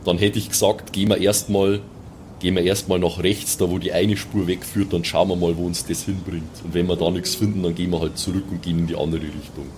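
Low-voiced southern German man, casual. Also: level tone, fast talker, a little mellow, slight background noise. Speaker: player in a pen and paper role-play podcast. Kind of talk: casual improvised role-play chat.

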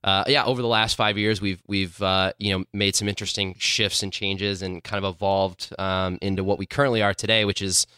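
A man's voice: low voice, level tone, fairly quick, hardly any pauses, bright, forceful tone, clear, resonant tone, no room sound, clean studio sound. A man in his thirties from Illinois, a company co-founder answering interview questions in a rambling way, full of fillers. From Illinois, USA.

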